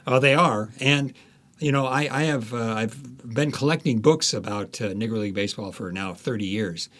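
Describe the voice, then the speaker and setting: A man's voice: mid-range voice, normal speed, a bit bright. An American man in his seventies, an author being interviewed, telling anecdotes in an emphatic, enthusiastic way. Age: 70s. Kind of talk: reminiscing, anecdotal interview talk.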